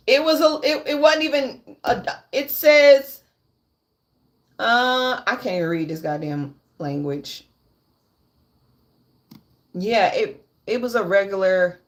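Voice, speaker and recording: A woman in her late thirties speaking on a candid livestream, blunt and profane, repeating her points for emphasis. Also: high voice. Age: 30s. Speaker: livestream host.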